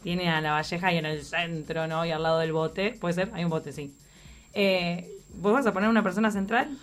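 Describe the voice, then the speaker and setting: A woman's voice: lowish voice, moderate pace. A woman with a Uruguayan accent hosting a casual conversational interview in a chatty, informal way. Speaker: interviewer, programme host.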